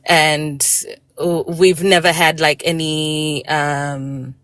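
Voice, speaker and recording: A woman from Malawi, a guest in a casual live-stream discussion, talking in a hesitant, halting way with many fillers and restarts. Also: low voice, little pitch movement, a bit bright, no echo, studio quality.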